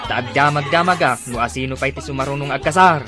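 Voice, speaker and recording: A man's voice: medium pitch, quick pace, music in the background, natural voice. An actor playing a man's jovial cockfight buddy, who talks in an eager, coaxing way.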